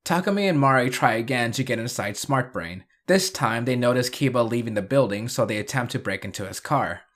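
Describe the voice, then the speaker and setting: A man narrates a scripted recap as the host of a video. His voice sits at an ordinary middle pitch, and he drops in wry, dry asides as he goes. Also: animated, brisk.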